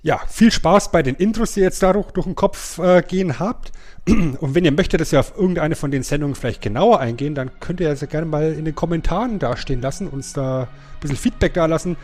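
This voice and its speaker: A man with a slightly high voice co-hosts a podcast. He talks informally and colloquially, often repeating a word to drive his point home. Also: fast, darkish tone, faint music behind. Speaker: podcast co-host. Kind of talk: casual podcast chat.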